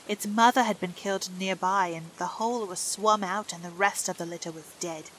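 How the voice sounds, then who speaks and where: A woman's voice: medium pitch, steady tone, brisk, a bit bright, slight background noise, natural voice, poor audio. A woman doing a chatty housemaid. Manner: homely and talkative. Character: chatty, kindly young Yorkshire housemaid.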